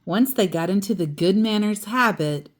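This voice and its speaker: A woman reading a storybook aloud to a child, expressive and chatty, with casual asides. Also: medium pitch, normal speed.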